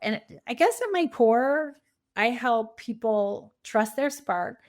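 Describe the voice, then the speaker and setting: A woman's voice: a little high, expressive, slow. A middle-aged woman answering interview questions conversationally, in a reflective, earnest way with emphasis on key words. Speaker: podcast guest, coach and author.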